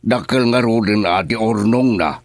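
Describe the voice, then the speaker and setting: A man's voice: fairly low pitch, normal speed, dry recording, lightly acted. An actor playing a worried, stern middle-aged father in a radio drama, talking earnestly and scolding. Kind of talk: Ilocano radio drama acting.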